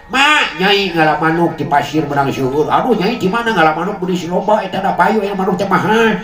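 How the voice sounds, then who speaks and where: A man with a Sundanese accent tells comic tales in rhymed patter, playful and chuckling as he goes. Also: mid-range voice. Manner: playful, chuckles as they talk. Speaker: comic storyteller, show host. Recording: echoey amplified sound with music behind. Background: music.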